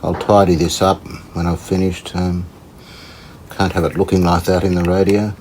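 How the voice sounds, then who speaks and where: A man giving casual hands-on repair commentary, unhurried and tentative, as if thinking aloud. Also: low-pitched, little pitch movement, a little mellow, a little hiss or hum, poor audio. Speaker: host of a radio repair channel.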